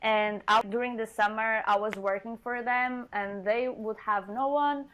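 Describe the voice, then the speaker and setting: A woman's voice: fairly high pitch, fairly even intonation, measured pace, fairly bright tone, a little hiss or hum. A woman from Portugal, a singer talking earnestly as a guest in an interview, with slightly phrasing. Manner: earnest, laughs often, slightly non-native phrasing. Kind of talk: casual interview chat.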